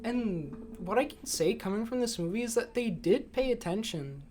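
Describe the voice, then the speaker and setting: A man's voice: high-pitched, lively intonation, moderate pace, a little mellow. Young man chatting casually over background noise. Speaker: podcast host. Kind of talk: casual movie chat. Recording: clear background room noise.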